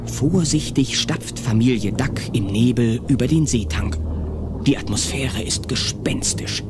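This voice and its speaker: A man's voice: mid-range voice, measured pace, lightly acted. A man narrating a German children's audio play in a dramatic scripted storytelling style. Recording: music behind the voice.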